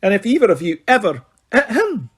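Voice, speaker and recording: A man's voice: sits high, wildly swooping pitch, brisk, breathy edge, voice pushed up, exaggerated voice. Professor McGonagall, a man doing a stern older witch. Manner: clipped, scolding. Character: stern older female professor, strict and furious.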